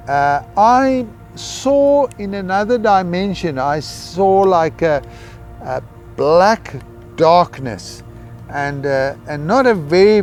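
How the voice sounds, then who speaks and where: A middle-aged man shares a personal testimony in a South African Afrikaans accent. His voice sits a little higher than most men's. He speaks reflectively, pausing often as he searches for words, while soft music plays behind him. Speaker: missionary giving a testimony. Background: background music.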